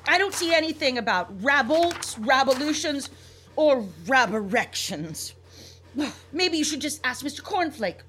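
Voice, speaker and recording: A woman's voice: sits high, lively intonation, unhurried, fairly bright tone, slight background noise. A woman playing a scheming secretary in a comic radio play, breezily feigning innocence with a pointed, sarcastic edge. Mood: feigned innocence. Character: scheming secretary, falsely innocent, impatient female rabbit revolutionary.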